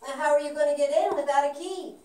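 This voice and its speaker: A woman voicing an excitable little mouse in a puppet show, speaking in an exclamatory, theatrical way. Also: high voice, fast, far from the mic, natural voice. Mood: curious. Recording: echoey, noisy room from afar.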